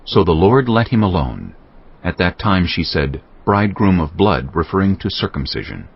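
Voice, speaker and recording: A man's voice: low-pitched, very animated, moderate pace, creaky, husky. A man giving scripted scripture narration as the narrator of a dramatized audio production. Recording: muffled, lo-fi.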